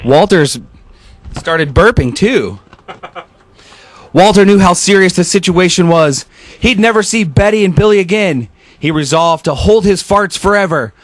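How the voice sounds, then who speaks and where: High-pitched man reading a story aloud. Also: animated, measured pace. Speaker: radio host. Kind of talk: animated storybook read-aloud with off-the-cuff asides.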